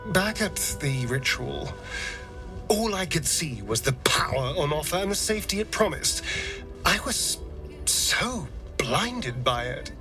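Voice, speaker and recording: A man's voice: fairly high pitch, very animated, a little slow. A man playing a theatrical vampire freed from his master in a video game, wistful and lingering, with music underneath. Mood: wistful, reflective. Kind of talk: dramatic video game voice acting. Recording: slightly distant with music underneath.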